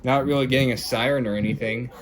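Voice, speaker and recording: A man's voice: mid-range voice, fairly even intonation, fast talker, crowd noise. A man hosting a casual, rambling unboxing video in an informal way. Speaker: video host. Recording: noisy home recording with clicks.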